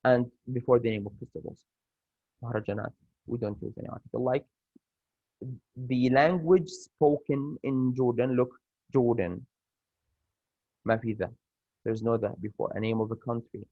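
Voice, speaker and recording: A man's voice: mid-range voice, normal speed, long pauses, no room sound. Arabic-accented man teaching English grammar. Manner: hesitant, many fillers and restarts. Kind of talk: recorded grammar lesson. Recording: dull dry lo-fi recording.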